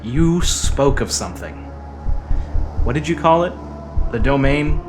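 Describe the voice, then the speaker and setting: A man's voice: medium pitch, lively intonation, unhurried, close to an everyday voice. A man playing a stern, menacing officer running an interrogation in a military sci-fi audio drama, clipped and commanding. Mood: probing, demanding. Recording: music behind the voice.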